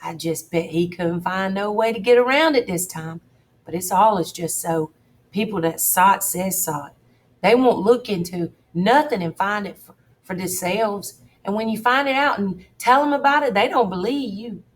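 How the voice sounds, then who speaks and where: A woman voicing a frightened, superstitious older farmhand who pleads in a high, breathless voice. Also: normal speed, natural voice. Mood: pleading.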